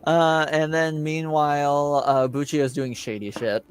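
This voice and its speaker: A man narrating a tabletop roleplaying game as game master in an unscripted recap, pausing and searching for words. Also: a little high, level tone. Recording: clear music under the voice. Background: background music.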